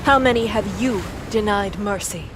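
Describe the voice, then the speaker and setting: A woman playing a stern, vengeful dragoness goddess in an audio drama, cold, accusing and deliberate. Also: mid-range voice, background noise, close to an everyday voice. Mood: cold accusation.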